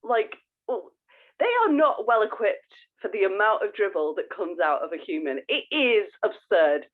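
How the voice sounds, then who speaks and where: A woman co-hosting a podcast in casual chat, playful and expressive in the way she talks. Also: medium pitch, normal speed. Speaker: podcast co-host. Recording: thin phone-like call audio.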